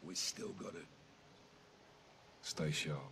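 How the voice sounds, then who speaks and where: Game squad leader: deep voice, terse and wry, calm command, clear background noise. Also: male voice, slow pace, full character voice. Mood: hushed, focused. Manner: clipped, dry, commanding. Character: seasoned special forces captain, sniper, calm and wry. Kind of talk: video game military dialogue, terse radio callouts.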